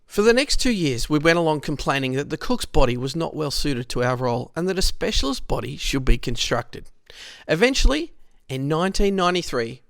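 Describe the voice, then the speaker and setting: An Australian man reading a scripted historical narration in a measured, explanatory way. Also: fairly high pitch, gravelly, a bit bright, no echo, clean studio sound.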